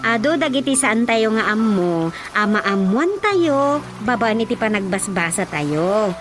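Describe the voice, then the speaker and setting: An actress playing a cheerful, dutiful grown daughter in a Filipino radio comedy drama, speaking expressively and affectionately with a Filipino accent. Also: female voice, medium pitch, lively intonation, measured pace, natural voice. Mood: cheerful, caring. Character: Rosa, cheerful, dutiful grown daughter fussing over her father. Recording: radio drama with music behind.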